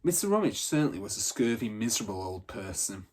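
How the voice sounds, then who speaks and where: Husky man reading a children's story. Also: lowish voice, sing-song, fast. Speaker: teacher reading a story aloud. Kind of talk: expressive children's storybook narration.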